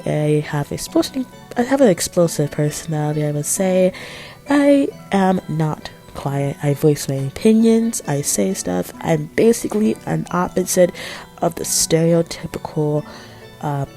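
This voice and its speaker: Teen girl, hoarse and raspy from a cold, rambling art-vlog voice-over, mellow and low-set, faint music underneath. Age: teens. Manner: chatty, rambling, apologetic asides. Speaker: art vlogger. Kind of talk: casual voice-over narration.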